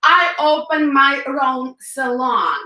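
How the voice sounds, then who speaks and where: Ukrainian-accented woman guest, high bright voice, echoey, a bit off-mic on a call. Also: slow, distant mic. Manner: fillers and non-native grammar, laughs often. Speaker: guest, hairstylist. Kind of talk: interview answers, telling her story.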